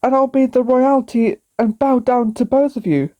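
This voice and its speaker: A woman voicing an excitable, loyal little friend of a dragon prince in a read-aloud storybook. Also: sits high, normal speed, dry recording, lightly acted. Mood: urging.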